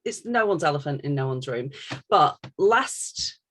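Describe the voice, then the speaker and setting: A woman's voice: low-pitched, animated, unhurried, a bit bright. A woman co-hosting a podcast in a casual, joking and irreverent way, reading out questions. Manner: playful, irreverent. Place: bedroom.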